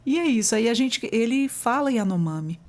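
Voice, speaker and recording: A woman's voice: a little high, expressive, moderate pace. A woman hosting an interview in a chatty, enthusiastic way, sharing personal stories and reacting with exclamations. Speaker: interview host. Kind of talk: conversational interview.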